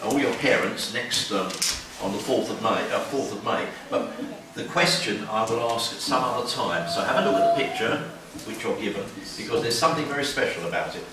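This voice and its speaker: A man's voice: medium pitch, expressive, a little fast, few pauses. A man in his seventies giving a talk to schoolchildren with a strong, projecting voice. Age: 70s. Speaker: guest speaker at a school. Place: school hall. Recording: echoey hall from far off, children's chatter.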